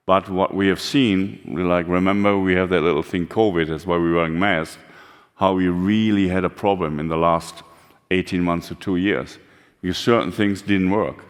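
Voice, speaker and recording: Deep-voiced German man, hall reverb. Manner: blunt and candid, with frequent fillers and non-native phrasing. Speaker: CEO giving a conference talk. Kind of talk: conference presentation.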